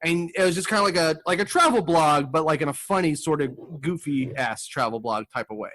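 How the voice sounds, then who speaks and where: A man's voice: fairly high pitch, a little fast, fairly bright tone, no room sound. A man hosting a casual comedy podcast, talking in a goofy, self-deprecating way. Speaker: podcast host.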